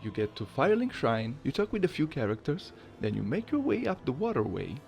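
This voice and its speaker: Creaky-voiced male gaming video host. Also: mid-range voice, big pitch swings, brisk, a little mellow, soft background music. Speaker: gaming channel host, amateur voice impersonator. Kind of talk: casual video essay commentary.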